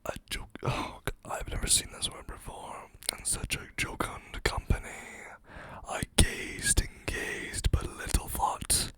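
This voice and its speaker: An ASMR host whispering poems into a close mic, reading slowly with chatty asides, voice a little hoarse. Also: a bit bright. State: slightly hoarse, losing voice.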